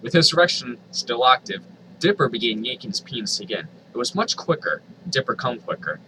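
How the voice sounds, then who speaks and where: A man's voice: mid-range voice, sing-song, brisk, noisy background. A man reading a story aloud dramatically, with exasperated, sardonic asides. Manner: exasperated, sardonic, swears freely. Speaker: reader of online fan fiction.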